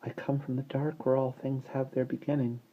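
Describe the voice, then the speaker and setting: A man voicing a wise, dreamy newborn baby girl who speaks softly and politely. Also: mid-range voice, flat delivery, a little fast, voice pushed up, lightly acted. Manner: soft, hushed, polite. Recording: dull lo-fi recording.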